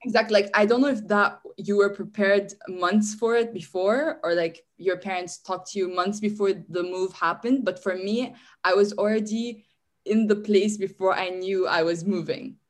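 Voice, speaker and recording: A young woman from Syria talks as a guest in a relaxed interview, in a middle-pitched voice. Her talk runs on in long strings, packed with "like" and frequent false starts. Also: normal speed. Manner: chatty, restarts often, says "like" a lot. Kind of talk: casual interview.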